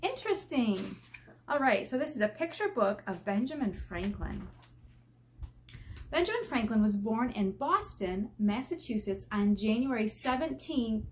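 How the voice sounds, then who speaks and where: An American woman reading a picture book aloud as an elementary teacher, expressive and teacherly, stressing key words and putting questions to her young listeners. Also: on the high side, slight background noise. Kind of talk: children's read-aloud with explanatory asides.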